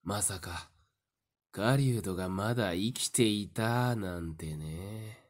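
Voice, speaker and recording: A woman acting in an audio drama as a calm, smiling, taunting werewolf in human guise, with a theatrical, teasing delivery. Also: very deep voice, slow. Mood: calm confession.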